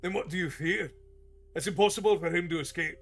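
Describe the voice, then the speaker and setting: A man doing a gruff, hot-tempered dwarf warrior. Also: brisk. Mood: angry, insistent. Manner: loud, forceful, raised high.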